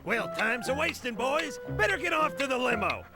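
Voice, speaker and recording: A brash, hyping bug movie mogul from a video game. His voice sits very high and swoops lively as he pitches his big news. Loud game music plays right under him. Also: normal speed, heavily acted. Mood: hyped. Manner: brash showman hype. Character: fast-talking bug movie producer, brash.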